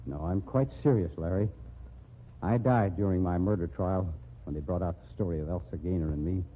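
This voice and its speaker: A man's voice: sits low, brisk, slight background noise, close to an everyday voice. An actor playing a bitter, disgraced man down on his luck, in 1940s radio acting with a wry, self-mocking manner. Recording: tinny old radio broadcast.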